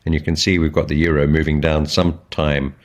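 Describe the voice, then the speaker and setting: A man giving informal, explanatory market commentary as a trading educator, in a measured, step-by-step way. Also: extremely low pitch, flat delivery. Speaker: trading educator, channel host.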